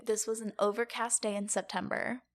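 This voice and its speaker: A woman's voice: mid-range voice, level tone, brisk. Young woman explaining casually on a podcast. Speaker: podcast co-host presenting the episode's topic.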